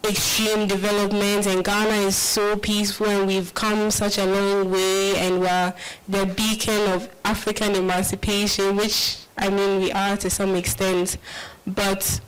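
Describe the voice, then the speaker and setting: A woman speaking on a panel in a measured way, often hedging her points. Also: medium pitch, clean tone, poor audio. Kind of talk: panel discussion.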